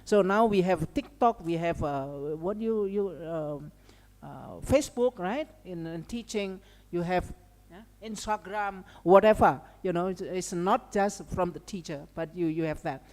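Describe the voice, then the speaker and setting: A woman's voice: lowish voice, a little slow, a little mellow. A woman with an Indonesian accent giving an academic lecture as a seminar speaker, conversational, often checking in with short tags like okay and right. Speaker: seminar speaker and language educator. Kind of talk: academic lecture with informal asides. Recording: long hall reverb.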